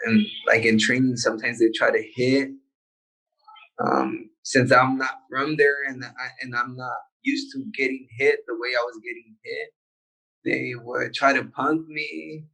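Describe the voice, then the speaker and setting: A young man, a soccer player born in the United States, talking in a relaxed and halting way in a casual interview. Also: mid-range voice, gravelly, low-quality recording. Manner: laid-back, halting, with many restarts. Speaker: professional soccer player, guest.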